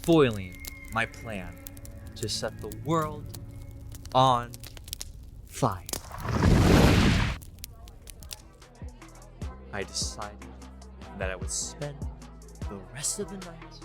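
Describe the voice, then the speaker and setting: A man's voice: medium pitch, very animated, slow, pauses often, over loud music. A man playing a smug, deluded college student who thinks he is the Joker, in a pompous, theatrical story reading. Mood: bitter, fervent. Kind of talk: dramatic first-person story reading.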